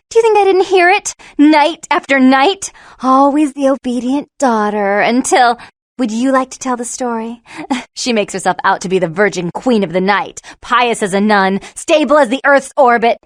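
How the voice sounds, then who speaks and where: Woman acting a high, girlish, taunting vampire vixen. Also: dry recording, clean studio sound, close to an everyday voice.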